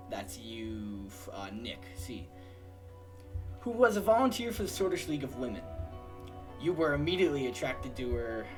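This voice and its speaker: A man narrates a game for his friends over faint music. His pitch is lively and varied, and his delivery is informal, moving between read-out story text and casual remarks. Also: rough recording. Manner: informal, swearing, joking asides. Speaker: host reading the game aloud. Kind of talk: casual group game session, reading narration aloud.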